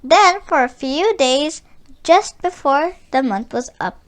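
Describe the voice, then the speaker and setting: A woman reading a children's story aloud in an expressive, deliberate storytelling way. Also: sits high. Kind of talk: read-aloud storybook narration.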